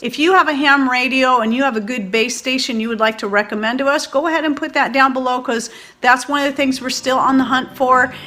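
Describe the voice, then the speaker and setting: Bright, higher-pitched woman chatting to viewers. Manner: chatty, informal, rambling. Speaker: host of a homesteading and preparedness video channel. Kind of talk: casual product review vlog, talking to viewers.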